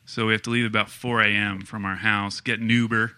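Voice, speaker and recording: A man's voice: fairly low pitch, little pitch movement, fairly quick, bright, forceful tone, clean tone. A man on a podcast telling a dry, self-deprecating story about an early morning trip. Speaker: podcast cohost.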